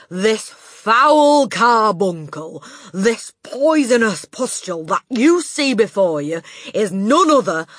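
A woman voicing a brutal, tyrannical female headmistress who shouts slowly and booms. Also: dry recording, full character voice. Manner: shouting, slow and booming.

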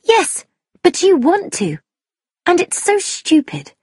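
A woman's voice: very high-pitched, huge pitch swings, slow, dry recording, clean studio sound, voice pushed up, heavily acted. A woman voicing an angry, bitter young woman in an audiobook, emphatic and defiant. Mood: anguished, bitter. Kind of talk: audiobook character dialogue.

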